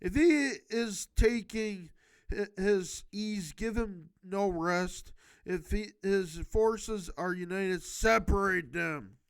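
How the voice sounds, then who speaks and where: A man's voice: sits high, slow, clean tone. A man reading a book aloud for a homemade video, stumbling and correcting himself, adding emphatic, casual exhortations of his own. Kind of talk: halting read-aloud with improvised casual commentary.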